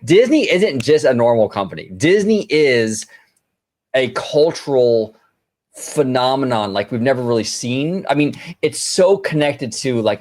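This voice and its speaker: A man's voice: mid-range voice, darkish tone. Casual male podcast host, mid-range voice. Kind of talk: casual financial news discussion.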